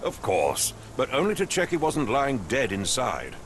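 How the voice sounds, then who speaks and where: An actor playing a pompous, impatient middle-aged captain in a video game, with an English accent and clipped, condescending speech. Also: male voice, medium pitch, wildly swooping pitch, measured pace, a bit bright, a little hiss or hum, heavily acted. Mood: dismissive. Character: pompous, impatient male English captain, haughty wealthy master.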